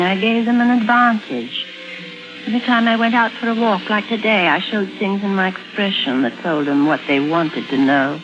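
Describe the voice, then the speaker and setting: A woman's voice: medium pitch, natural voice. An actress playing a troubled woman painter with amnesia, brooding and intense, in 1950s radio drama style. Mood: haunted, brooding. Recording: dull vintage 1950s radio recording. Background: dramatic music.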